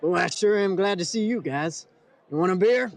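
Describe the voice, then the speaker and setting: Male actor as folksy 1940s radio bartender, gravelly creak, high pitch, hearty and friendly. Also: expressive, moderate pace, some chatter behind.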